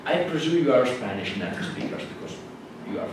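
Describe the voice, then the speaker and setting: A man with an Italian accent, a native Italian speaker, giving a classroom lecture in a halting way with many fillers and restarts. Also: medium pitch, sing-song. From Italy. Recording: echoey hall from far off with noise.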